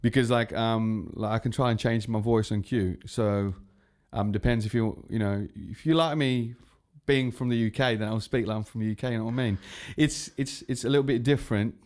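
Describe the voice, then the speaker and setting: A man's voice: medium pitch, fairly quick, few pauses, a little mellow. A young man, a guest on a casual podcast chat, talking in a rambling, laid-back way. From Australia. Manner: rambling, laid-back, full of fillers and restarts. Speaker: guest, music producer.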